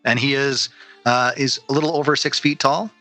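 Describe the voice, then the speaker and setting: Very bright male dungeon master. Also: mid-range voice, steady tone, normal speed, soft background music. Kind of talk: narrating a tabletop game.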